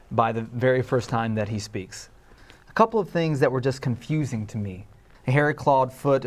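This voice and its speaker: Male panelist, medium pitch, casual and halting as he reviews a script. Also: a little mellow. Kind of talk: casual critique.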